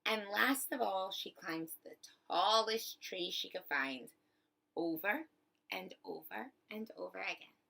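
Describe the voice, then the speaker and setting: A woman reading a picture book aloud to children like a teacher, with expressive, deliberate phrasing. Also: medium pitch, gravelly. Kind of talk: storybook read-aloud for children.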